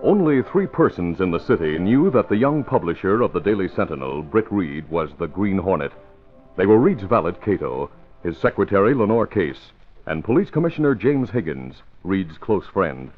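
A male radio announcer from the 1940s, emphatic and dramatic, reading scripted narration. His pitch swings widely. The recording is dull and lo-fi, with faint music. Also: very animated, moderate pace. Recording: muffled old radio broadcast recording.

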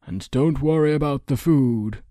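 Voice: mid-range voice, mellow, no room sound, studio quality, voice pushed up, lightly acted. A performer voicing a kindly, gracious old male traveler in a storybook, with a wheezy voice. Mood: kindly, grateful. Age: elderly. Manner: slow, gracious. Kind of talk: audiobook character acting.

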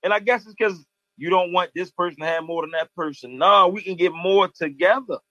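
Man, casual storyteller, slightly high voice, dull lo-fi dry recording. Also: a little fast, pushed and bright, no room sound. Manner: storytelling, loose and conversational. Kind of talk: casual podcast chat. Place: studio in Baltimore.

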